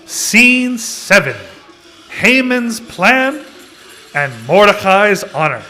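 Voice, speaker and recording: A man's voice: high voice, very animated, drawn-out words, bright, forceful tone. A man narrating a children's puppet show in a storytelling style, recorded live in a social hall. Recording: faint noise in social hall.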